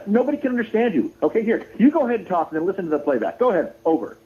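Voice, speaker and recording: A man's voice: high-pitched, very animated, rapid-fire. A man arguing on a live phone-in, combative and insulting, insistently repeating himself. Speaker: caller to a livestream show. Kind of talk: argumentative live phone-in banter. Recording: tinny phone-like livestream call.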